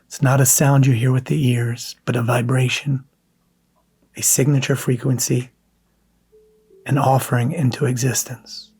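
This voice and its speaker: Slow, husky male meditation guide. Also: mid-range voice, little pitch movement. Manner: soft, hushed, breathy delivery with long pauses.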